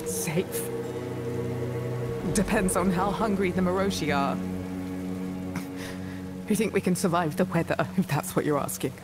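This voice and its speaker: A lowish, slow voice, a woman acting as a hardened middle-aged village aunt in a fantasy game. She is wary, blunt and sardonic, with music playing behind her. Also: fairly even intonation, lightly acted. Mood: wry.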